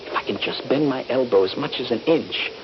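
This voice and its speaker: An actor playing a trapped, wounded Union soldier, frightened and bitter, with intense, deliberate dramatic phrasing in a radio drama. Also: male voice, mid-range voice, natural voice. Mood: urgent. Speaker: actor narrating and playing the lead. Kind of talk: radio drama acting with first-person narration. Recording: dull, noisy old radio broadcast.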